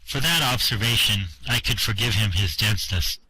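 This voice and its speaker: A man narrating a first-person story in an amateur radio drama with steady prose reading. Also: fairly low pitch, moderate pace, slight background noise, rough recording.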